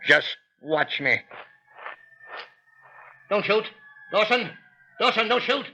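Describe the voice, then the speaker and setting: A man's voice: sits high, animated, takes it slowly, bright, forceful tone, exaggerated voice. A man acting a guilt-stricken old miserly landlord in a 1930s radio melodrama, fervent and agitated. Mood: resolute, agitated. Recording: dull 1930s radio recording.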